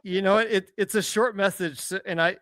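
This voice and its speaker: A man's voice: high voice, fairly even intonation, normal speed, fairly bright tone, no room sound, clean studio sound. A man co-hosting a podcast in casual comedic banter, mock-earnest and often repeating his words as he goes. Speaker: podcast co-host.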